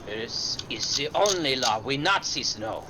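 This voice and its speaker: A man's voice: medium pitch, big pitch swings, unhurried, background noise. Man acting a sly agent. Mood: cold, menacing. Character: scheming male Nazi agent posing as French ally. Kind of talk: 1940s radio drama acting.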